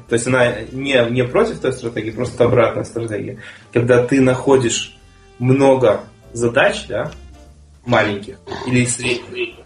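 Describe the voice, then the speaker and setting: A man co-hosting a relaxed chat show who talks fast and eagerly. His voice carries a roomy echo, and faint music plays behind him. He argues his points with energy. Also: mid-range voice. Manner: quick, talkative, argumentative. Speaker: co-host. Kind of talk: casual panel chat.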